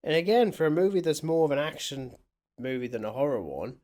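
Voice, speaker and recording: A man's voice: on the high side, lively intonation, brisk, a little mellow. A man co-hosting a film podcast, chatting casually and musingly as he comments on a movie.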